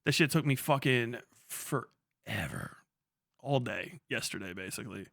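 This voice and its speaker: A young man talks loosely through a long livestream, reading chat, cursing and chuckling between thoughts. His voice sits at an ordinary middle pitch. The sound is close and dry, with no room around it. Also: expressive, a little slow, gravelly, a bit bright, dry recording. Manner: rambling, profane, laughs often.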